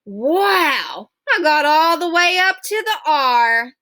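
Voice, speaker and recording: A woman's voice: high voice, big pitch swings, slow pace, pushed and bright, exaggerated voice. A woman voicing a whiny, sneaky twelve-year-old boy who plays the pitiful victim in a storybook. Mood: smug. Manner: gasping, whimpering, self-righteous.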